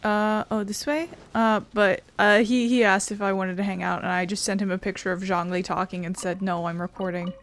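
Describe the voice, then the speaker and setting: A woman's voice: medium pitch, flat delivery, fairly quick, few pauses, slight background noise. A woman let's player giving casual, chatty commentary over a video game.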